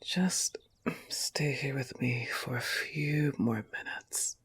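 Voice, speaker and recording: A man plays a goth wolf-girl girlfriend in an intimate audio roleplay. The delivery is unhurried and soft, often close to a whisper. The pitch sits at an average male level, with a tender, teasing and territorial manner. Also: fairly even intonation, full character voice. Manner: slow, soft-spoken, often dropping to breathy whispers.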